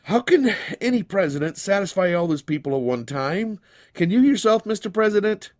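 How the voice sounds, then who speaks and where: An earnest young country fellow in a folk tale, put on by a male reader. He sounds high and animated, plain-spoken and deferential. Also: normal speed.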